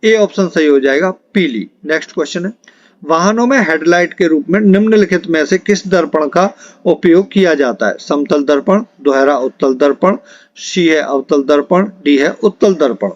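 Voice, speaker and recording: A man with a slightly high voice works as an exam-prep teacher. He delivers his lesson in an instructive way, addressing students directly. The recording is dull and lo-fi. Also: moderate pace. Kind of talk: reading multiple-choice quiz questions and answers aloud as a lesson. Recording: dull, muffled lo-fi audio.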